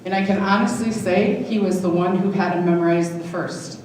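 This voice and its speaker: A woman's voice: on the low side, steady tone, normal speed, a little mellow. A woman, likely middle-aged, giving an informal, affectionate thank-you speech as a school drama director. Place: large indoor hall at a live event. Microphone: distant event microphone. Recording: very echoey hall from far off, faint noise.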